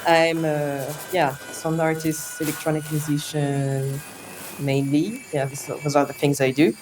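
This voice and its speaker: A woman's voice: low voice, steady tone, unhurried, noisy background. A young adult woman from France, a native French speaker with a French accent, talking hesitantly and thoughtfully as an interview guest, with many fillers and restarts. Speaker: interview guest, sound artist. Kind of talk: reflective conversational interview.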